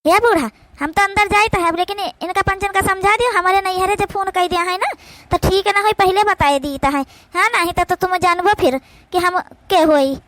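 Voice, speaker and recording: A woman's voice: very high-pitched, fairly even intonation, moderate pace, a bit bright, no room sound. A woman voicing brash, indignant village daughters-in-law in an Awadhi cartoon comedy, scolding and arguing in a rustic manner. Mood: argumentative.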